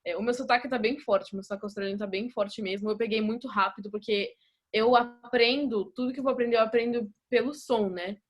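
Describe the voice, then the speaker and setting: A young Brazilian woman speaks at a club meeting about her time as an exchange student. Her voice sits a little high, and her talk runs loose and chatty, full of fillers and false starts. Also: steady tone, brisk, dull audio. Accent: Brazilian Portuguese. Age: young adult.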